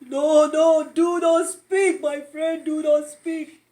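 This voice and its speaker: A woman voicing an anxious, melodramatic elephant in a children's storybook, halting and stammering. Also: high-pitched, flat delivery. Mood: sorrowful, dramatic. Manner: halting, stammering, overdramatic with loud achoos. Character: anxious, melodramatic sneezing elephant, friend of a piggy. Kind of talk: children's storybook read-aloud.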